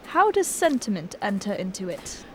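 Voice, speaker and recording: A woman acting a loyal gentleman sidekick in an amateur audio drama, polite, proper and earnest. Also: medium pitch, very animated, moderate pace, a little hiss or hum, natural voice. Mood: amiable, apprehensive.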